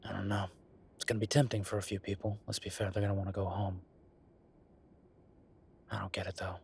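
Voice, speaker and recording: A man's voice: fairly low pitch, level tone, fairly quick, vocal fry, darkish tone, a little hiss or hum. A man playing a wry, affectionate werewolf bureaucrat in an intimate roleplay audio drama, talking in a casual, teasing, conversational way. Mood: skeptical, uneasy.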